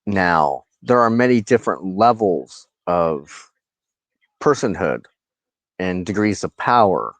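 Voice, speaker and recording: A man giving an informal spiritual talk as a reverend and teacher, speaking in a halting, deliberate way with drawn-out pauses. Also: low-pitched, animated, no room sound. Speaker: host, pagan reverend and teacher.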